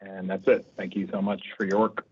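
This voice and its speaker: A man giving a brisk, itemized public comment by phone. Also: low voice, level tone, breathy edge, highs cut off. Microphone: phone line.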